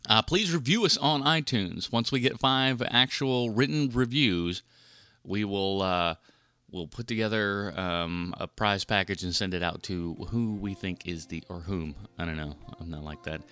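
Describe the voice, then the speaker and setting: A man's voice: lowish voice, measured pace, bright, forceful tone, no echo, studio quality. A man hosting a film podcast solo in a casual, rambling, informal and mildly profane way. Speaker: podcast host reviewing films.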